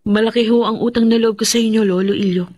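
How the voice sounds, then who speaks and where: A woman's voice: a little high, steady tone, fast, a little mellow, no room sound, studio quality, lightly acted. A woman playing a determined, impatient young woman seeking power in a radio drama, respectful but headstrong.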